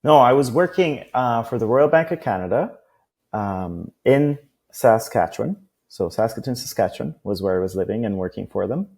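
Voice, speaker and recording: A man's voice: fairly low pitch, lively intonation, moderate pace, a little mellow, breathy edge. A man from Costa Rica, a guest on a business interview, talking at length in a loose, rambling way. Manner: talkative, many fillers and restarts.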